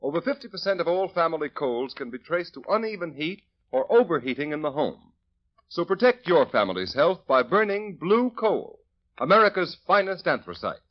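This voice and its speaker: A man announcing a 1930s radio drama and reading its sponsor's ad, in a smooth, salesman-like manner. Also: on the high side, sing-song, moderate pace. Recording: dull old radio recording.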